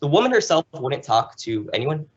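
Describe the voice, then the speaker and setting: An American man from New Jersey talking as an interview guest in an informal, animated, explanatory way. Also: a little high, a little fast, breathy edge, lo-fi sound. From New Jersey, United States. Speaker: podcast guest, travel show host. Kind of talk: conversational interview, enthusiastic storytelling.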